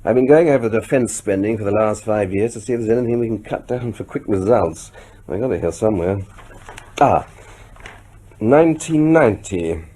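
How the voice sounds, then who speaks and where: An actor playing a wry, combative new British prime minister in a radio drama, with a husky voice and brisk, conversational authority. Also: male voice, fairly low pitch, animated, warm tone, a little hiss or hum, natural voice. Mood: musing, businesslike.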